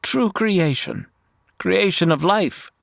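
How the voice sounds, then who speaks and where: A man's voice: high-pitched, takes it slowly, creaky, no echo, clean studio sound, full character voice. A man playing a dazed, grandiose ship's doctor in a comedy audio drama, with a portentous manner.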